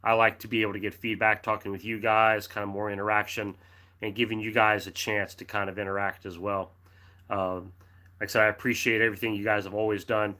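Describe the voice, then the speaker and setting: Low, bright-toned man hosting a live chat. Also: flat delivery, brisk. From United States. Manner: friendly, rambling, frequent restarts and throat clearing. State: throat going dry, sucking a cough drop. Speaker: livestream host reading chat.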